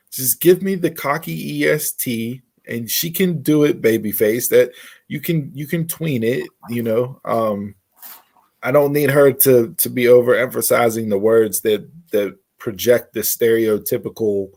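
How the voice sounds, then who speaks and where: A man's voice: mid-range voice, a little slow, darkish tone. Guy podcast cohost, regular mid voice, casual but opinionated, repeats himself to make a point. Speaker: podcast co-host. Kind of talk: casual wrestling predictions chat.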